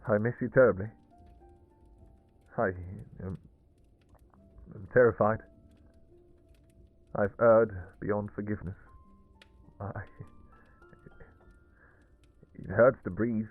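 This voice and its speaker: A man playing an anguished, devoted vampire king in a fantasy romance audio roleplay, speaking in halting, pleading, formal old-fashioned phrases. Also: fairly low pitch, fairly even intonation, narrow, tinny audio, faint music behind. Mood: pleading, terrified. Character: anguished, devoted vampire king, obsessive lover and new father.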